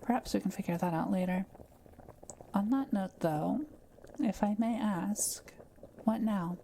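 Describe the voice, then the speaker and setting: A kindly, curious witch acted by a woman with a soft, dark-toned voice that sits a little low. She speaks in gentle, measured, politely formal phrases, as in an intimate audio roleplay, with faint ambient noise behind her. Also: vocal fry. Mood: gently curious. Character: kind, curious forest witch, soft-spoken and politely wry. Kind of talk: intimate scripted audio roleplay addressed to the listener.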